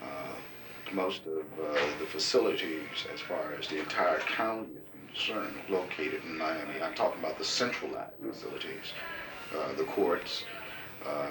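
A man of thirty being interviewed, answering in a deliberate, hesitant way with many pauses and fillers. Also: very deep voice. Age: 30s. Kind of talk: casual oral-history interview. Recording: roomy, distant, noisy tape recording.

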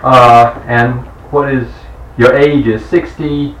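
A man's voice: mid-range voice, steady tone, takes it slowly, fairly bright tone, slight background noise. Guy asking interview questions, lots of uhs, sounds far away in a roomy space. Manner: hesitant, many fillers and restarts as he asks.

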